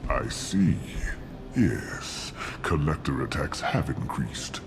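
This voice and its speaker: An actor playing an aged, haughty alien warlord scientist in a video game, speaking with grandiose, deliberate scorn. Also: male voice, rumbling low, lively intonation, creaky, music in the background, heavily acted. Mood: proud, cold. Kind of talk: dramatic video game acting.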